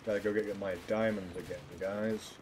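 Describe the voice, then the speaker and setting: A man's voice: lowish voice, level tone, a little fast, noisy background. A man live streaming a game, chatting casually in a rambling, jokingly dramatic way. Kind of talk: casual gaming livestream chat.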